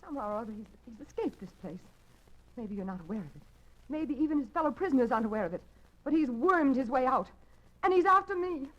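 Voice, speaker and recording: A woman's voice: high-pitched, sing-song, fast. An actress playing a frightened young woman who speaks anxiously and stammers in a 1940s radio drama, heard through a dull lo-fi broadcast recording. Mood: fearful.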